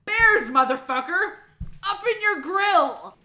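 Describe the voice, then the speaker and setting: A woman's voice: very high voice, lively intonation, slow pace, pushed and bright, echoey room. A woman giving a sassy, emphatic dramatic reading of a humorous story, drawing out words for comic effect. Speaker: amateur reader of a humorous myth retelling. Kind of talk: animated dramatic reading with comic, profane narration.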